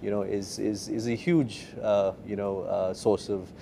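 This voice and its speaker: A middle-aged man, an actor in an interview, talking in a halting way with many repeated words. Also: on the low side, little pitch movement, vocal fry, warm tone, slight background noise. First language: not Urdu. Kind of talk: casual interview answers.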